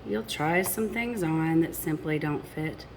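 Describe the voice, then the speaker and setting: A woman's voice: low-pitched, measured pace, slight background noise. A woman reading a picture book aloud to children expressively, addressing young listeners directly with chatty asides. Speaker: storybook reader.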